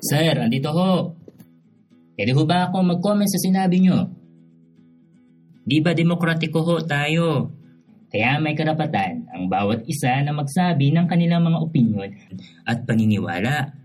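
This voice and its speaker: An actor voices a defiant male union president for radio drama. His fairly high voice rises and falls freely as he speaks up earnestly and respectfully, music faint behind him. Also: lively intonation, normal speed, long pauses, darkish tone. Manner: respectful but assertive.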